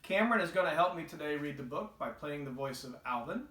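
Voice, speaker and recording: A man's voice: a little high, animated, fast, fairly bright tone. A man reading a children's storybook aloud as the narrator. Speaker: storybook reader. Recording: echoey, roomy home recording.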